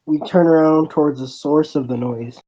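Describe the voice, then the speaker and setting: Someone reading a visual novel out loud, kinda halting, soft mellow voice, muffled cheap mic. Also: medium pitch, steady tone. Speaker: player narrating a visual novel. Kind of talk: reading aloud a visual novel, voicing dialogue.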